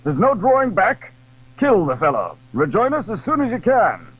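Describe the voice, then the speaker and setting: A man plays a cool-headed musketeer in a swashbuckling audio drama. His voice sits high and swings widely in pitch, with a husky grain. He speaks as a judicious man resigned to danger, on a dull, lo-fi recording. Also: huge pitch swings, normal speed, dry recording, exaggerated voice. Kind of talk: audio drama acting of a classic adventure novel.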